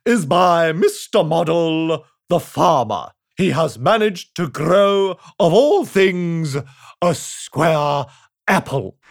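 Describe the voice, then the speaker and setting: Man putting on a pompous, slow, loud storybook king. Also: a little high, expressive, gravelly, heavily acted. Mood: grand, ceremonious. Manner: grand, drawn-out proclaiming. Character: pompous king addressing a crowd in nonsense land. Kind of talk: children's storybook character voice.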